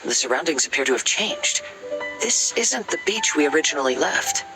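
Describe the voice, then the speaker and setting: An actor voicing a calm, courteous young wanderer in a video game, soft-spoken and measured. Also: male voice, on the high side, over music, rough recording.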